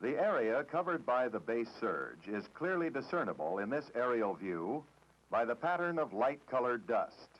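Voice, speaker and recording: Narrator: American man, formal matter-of-fact 1960s documentary read, strongly modulated mid-range pitch, lo-fi old film audio. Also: very animated, normal speed, slightly rough. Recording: dull, dated 1960s film soundtrack.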